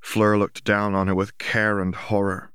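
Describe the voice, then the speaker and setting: A man with a low-pitched voice narrates a novel for an audiobook. His reading is scripted and literary. The recording is dry, with very little room sound. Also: monotone, unhurried, a bit bright, clear, resonant tone, no room sound.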